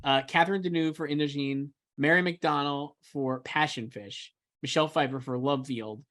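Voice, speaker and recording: A man's voice: on the high side, steady tone, moderate pace, a bit bright. A man co-hosting a casual entertainment discussion, giving emphatic, opinionated takes in an enthusiastic manner. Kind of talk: casual film awards chat.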